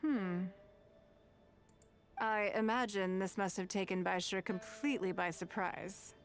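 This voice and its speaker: An actress playing a composed, shrewd businesswoman in a video game, speaking in a smooth, measured way. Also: mid-range voice, fairly even intonation, faint music behind, natural voice. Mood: polite, calm. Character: poised, wealthy businesswoman and chess designer, composed and shrewd. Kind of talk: scripted video game dialogue.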